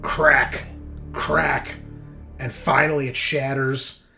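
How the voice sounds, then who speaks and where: A man runs a tabletop roleplaying game as game master over music. His improvised narration is emphatic and dramatic, dropping into casual talk about rules. His pitch sits at an average male level. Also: little pitch movement, slow pace, gravelly, bright, forceful tone.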